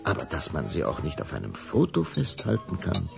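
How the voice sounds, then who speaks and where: A man's voice: low voice, huge pitch swings, normal speed, gravelly, music in the background. A man narrating a children's audio drama in a dramatic, suspenseful storytelling manner.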